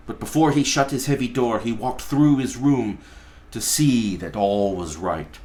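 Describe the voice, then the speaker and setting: A man narrating a storybook aloud in a deliberate, theatrical way, pausing between phrases. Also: mid-range voice, darkish tone. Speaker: pastor reading a story aloud.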